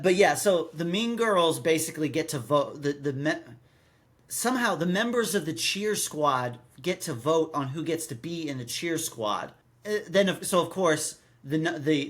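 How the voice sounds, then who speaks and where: Halting, rambling man, slightly high voice. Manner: halting, repeats words, rambling. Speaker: podcast co-host. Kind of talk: casual chat, recapping and critiquing a radio drama. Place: recorded in person with co-host.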